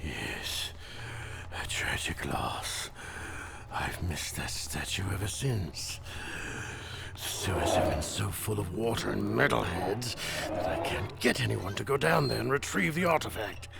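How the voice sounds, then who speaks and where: Smug gangster kingpin, slow drawl, very gravelly, unctuous, video game villain, noisy background. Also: male voice, lowish voice, very animated, heavily acted. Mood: theatrical, wistful. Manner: unctuous, drawling, savoring words, ends with "eh?". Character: oily, scheming crime boss with gloating airs. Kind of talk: video game cutscene acting.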